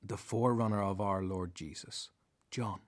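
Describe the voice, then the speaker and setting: An actor playing a devoted, reflective disciple who narrates his own story in a biblical radio drama, solemn and measured. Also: lowish voice, fairly even intonation, lightly acted. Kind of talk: dramatized first-person narration.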